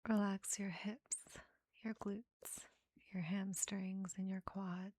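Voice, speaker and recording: Slow, breathy, half-whispering woman guiding meditation. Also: fairly low pitch, no room sound. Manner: soothing, gentle instructions in short phrases with pauses. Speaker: meditation guide. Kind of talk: guided meditation, soft relaxation narration.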